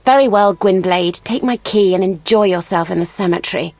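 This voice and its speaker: An actress playing a proud, serious elven huntress in a video game, speaking formally and gravely. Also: female voice, medium pitch, fairly quick, a little mellow, no room sound, close to an everyday voice. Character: proud, serious elven woman, a wounded monster hunter.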